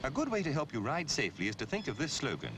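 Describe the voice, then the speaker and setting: A man reading scripted public information film narration in a measured, instructive, emphatic way, on an old film soundtrack. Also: mid-range voice, wildly swooping pitch, background noise. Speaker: narrator of a road safety film.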